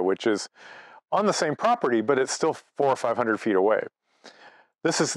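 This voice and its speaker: Male presenter, sixties, mid-pitched, anecdotal and conversational, very dry acoustic. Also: dry recording. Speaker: amateur radio presenter. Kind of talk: informal conference presentation, personal storytelling. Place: outdoors by trees at a cottage.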